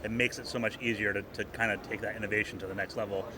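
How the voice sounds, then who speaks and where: A man's voice: lowish voice, flat delivery, rapid-fire. A man co-hosting a casual interview at a trade show, chiming in with conversational commentary. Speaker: co-host. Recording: noisy busy venue background.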